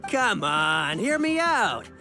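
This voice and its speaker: A man's voice: high voice, big pitch swings, slow, bright, forceful tone, heavily acted. An actor playing a boastful, wheedling male fruit seller in a video game, full of pushy sales patter. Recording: music behind the voice.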